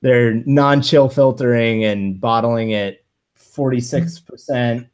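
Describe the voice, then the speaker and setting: A man's voice: medium pitch, steady tone, slow pace, smooth. Man chatting casually on a video call. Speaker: guest whisky reviewer. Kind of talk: casual chat. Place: living room at home.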